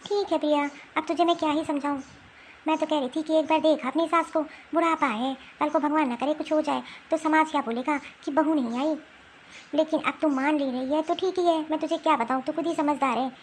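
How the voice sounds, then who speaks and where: A concerned mother played by a woman in a homemade cartoon melodrama, with a very high voice. She persuades gently and sounds resigned, over some background noise. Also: steady tone, a little fast, natural voice. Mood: resigned, advising. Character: concerned, resigned mother advising her married daughter. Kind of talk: amateur Hindi cartoon family melodrama.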